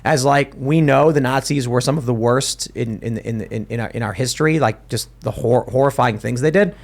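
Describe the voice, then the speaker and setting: A man's voice: medium pitch, normal speed, creaky. A man hosting a casual podcast panel, talking emphatically and often restarting his sentences. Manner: emphatic, animated, with frequent restarts. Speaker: podcast host.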